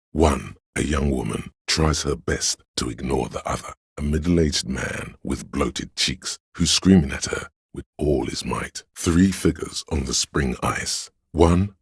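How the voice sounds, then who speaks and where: A man voicing a grave, all-knowing narrator in a detective video game, measured and solemn, with a gravelly, husky voice. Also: very deep voice, no room sound, clean studio sound, exaggerated voice. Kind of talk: scripted dramatic narration.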